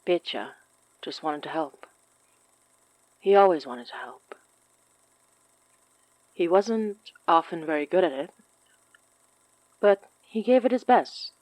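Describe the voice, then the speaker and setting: A woman's voice: fairly low pitch, animated, slow, pauses often, a little mellow, dry recording, poor audio. A woman hosting a fiction podcast, reading announcements and scripted story narration.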